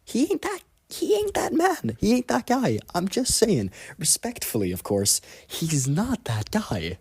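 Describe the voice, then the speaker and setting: Male listener, casual joking commentary, unhurried husky voice, very animated pitch. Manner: joking, hesitant, mock-respectful. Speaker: listener sending a voice submission. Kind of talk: casual chat.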